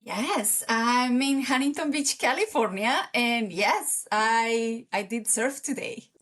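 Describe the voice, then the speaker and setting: A woman, chatting as an interview guest in an unhurried way, often searching for words. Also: fairly high pitch, fairly bright tone. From Colombia. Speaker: guest surfer. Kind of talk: casual interview chat.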